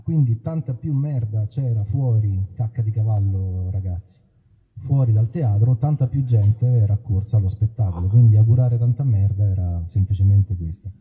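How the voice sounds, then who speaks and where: A man's voice: fairly low pitch, normal speed, husky, narrow, tinny audio. A man talking to his audience in a colloquial, theatrical way during a live outdoor stage show. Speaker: musician, singer performing a show. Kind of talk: live stage banter between songs. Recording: live stage performance with music.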